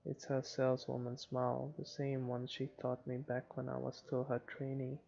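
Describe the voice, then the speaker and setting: A man's voice: mid-range voice, monotone, moderate pace, gravelly, dark tone, highs cut off. A man reading a visual novel aloud as dramatic narration for a playthrough.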